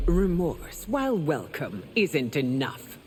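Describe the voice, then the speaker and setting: Imperious empress, sweeping intonation. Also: female voice, deep voice, very animated, takes it slowly, darkish tone, slight background noise, full character voice. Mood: stern, haughty. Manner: regal, formal, stern. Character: proud, imperious empress of a fantasy realm. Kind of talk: video game dramatic acting. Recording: long hall reverb, music behind.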